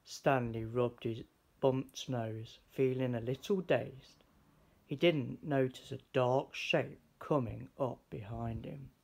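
A man reading a children's picture book aloud in an expressive storytelling style. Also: mid-range voice, slow pace, gravelly, husky. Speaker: storybook reader.

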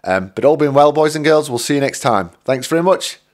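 A man's voice: fairly high pitch, expressive, fairly quick. A middle-aged man from the UK giving a casual guitar lesson in a small home studio, chatty and self-deprecating, with plenty of fillers. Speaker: guitar teacher. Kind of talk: casual spoken tutorial. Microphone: studio condenser mic in front.

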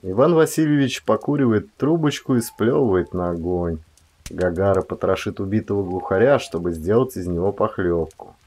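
A man's voice: low-pitched, lively intonation, normal speed, mellow. A man reading literary prose as an actor narrating an audiobook, expressively.

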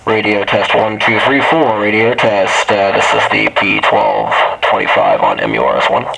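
Gravelly man over a walkie-talkie. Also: on the low side, expressive, normal speed, pushed and bright. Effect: two-way radio speaker. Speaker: radio operator doing test calls. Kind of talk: clipped radio check calls. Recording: crackly walkie-talkie audio, faint noise.

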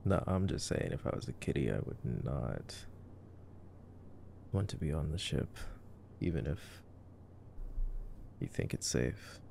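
A man giving casual, playful, wry ASMR commentary as a boyfriend watching a movie, with trailing pauses. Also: rumbling low, big pitch swings, gravelly, dark tone, a little hiss or hum. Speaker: ASMR roleplay voice actor playing a boyfriend.

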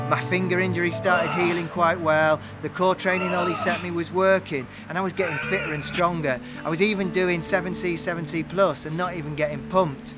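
A man narrating his own climbing training year in a candid, self-deprecating way. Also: on the high side. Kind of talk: narrated voice-over and casual chat.

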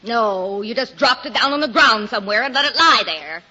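An actress playing an exasperated, sharp-tongued colonial farm wife who nags, in 1940s radio style. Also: female voice, on the high side, expressive, moderate pace, exaggerated voice. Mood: scolding. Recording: muffled old 1940s radio recording, faint noise.

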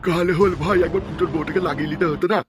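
A man's voice: a little high, fairly even intonation, rapid-fire, close to an everyday voice. A man voicing a brash, crude, bossy cartoon schemer. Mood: crude, eager. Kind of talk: comic cartoon dubbing.

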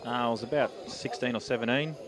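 Fast-talking Australian man, pool hall. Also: mid-range voice, steady tone. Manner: rambling, hesitant. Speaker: pool player. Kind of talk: interview answers. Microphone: handheld mic.

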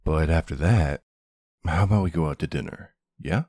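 A man playing a tender but commanding mafia boss husband in an ASMR roleplay, with a low, gravelly, husky voice. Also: moderate pace, mellow, no echo, studio quality. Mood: soft, serious.

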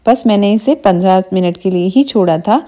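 A middle-aged woman talks a viewer through a recipe at her kitchen counter, step by step. Her voice is mellow and warm, never sharp, and her pace is patient and even. She often pauses to point something out, as if the viewer stood right beside her. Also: medium pitch. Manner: calm, patient explaining with frequent "dekhiye" prompts. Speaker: cooking channel host. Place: home kitchen.

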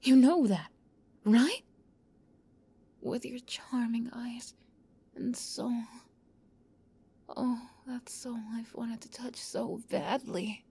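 A woman playing an obsessive young yandere captor in an ASMR roleplay, close to the mic, moving between soft whispers and giddy, high outbursts. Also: extremely slow, gravelly, pauses often, breathy edge. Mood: teasing, intimate.

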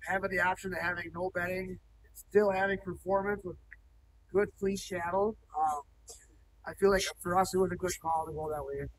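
Plainspoken farmer, high voice, distant mic. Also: male voice, fairly even intonation, normal speed, slight background noise. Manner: plainspoken, with frequent ums and restarts. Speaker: cattle farmer. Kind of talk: on-site interview. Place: indoor cattle barn, large, open-sided.

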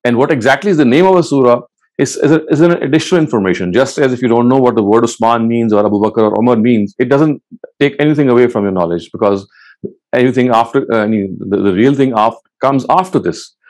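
A man in his sixties giving a measured academic lecture from a studio, answering questions and explaining patiently, with frequent "uh" pauses. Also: medium pitch, darkish tone. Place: studio set with bookshelves and wall lamps.

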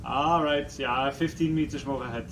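A man's voice: medium pitch, fairly even intonation, moderate pace, reverberant, far from the mic, heavy background noise. A male ship captain giving short, clipped docking commands by radio from the wheelhouse. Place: small ship's wheelhouse, indoors.

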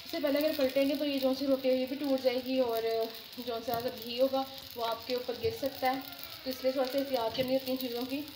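A young woman with a slightly high voice hosts a home cooking vlog, talking viewers through each step in a relaxed, chatty way. She is recorded in a home kitchen, with clear cooking noise and sizzling behind her. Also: fairly quick. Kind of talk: casual cooking vlog narration.

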